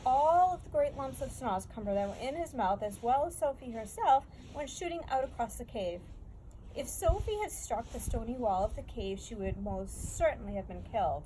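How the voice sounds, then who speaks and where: A woman's voice: fairly high pitch, expressive, normal speed, mellow, slight background noise, poor audio. A woman narrating a children's story expressively from a book, as a read-aloud. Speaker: storybook reader.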